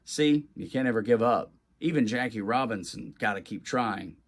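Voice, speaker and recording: An older grandmother read by a man, encouraging and matter-of-fact. She speaks at a medium male pitch in a plain storybook style, offering steady advice. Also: normal speed, close to an everyday voice.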